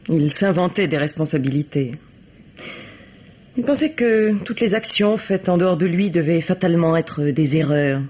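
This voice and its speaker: An actress playing a cynical, haughty bourgeois woman in a French radio mystery, speaking in a measured, articulate, coolly disdainful way. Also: fairly low pitch, a little mellow, slightly rough. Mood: bitter, disdainful. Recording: dull vintage radio recording.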